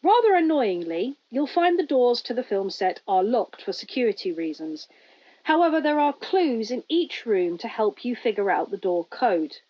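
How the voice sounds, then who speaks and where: A woman voicing a hesitant, urgent stranger pleading over studio loudspeakers in an audio story. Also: fairly high pitch, sing-song, lo-fi sound. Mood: apologetic, brisk. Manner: hesitant, halting.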